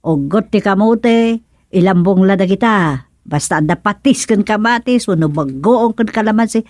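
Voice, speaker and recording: A woman's voice: mid-range voice, expressive, moderate pace, a little mellow, no room sound, studio quality, close to an everyday voice. A woman playing an industrious, thrifty older village gardener in an Ilocano radio comedy drama, with an Ilocano accent. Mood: proud, homely.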